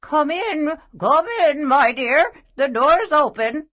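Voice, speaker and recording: An actor playing a sly, greedy big bad wolf in a children's fairy tale, with a raspy growl and drawn-out, menacing wheedling. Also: high voice, lively intonation, pushed and bright, heavily acted. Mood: falsely sweet.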